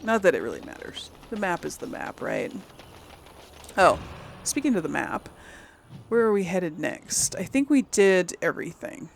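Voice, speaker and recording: A woman's voice: on the low side, sing-song, moderate pace, vocal fry, slight background noise. A woman casually commentating on a game, rambling and thinking aloud in an easily amused, chatty way. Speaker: gameplay host.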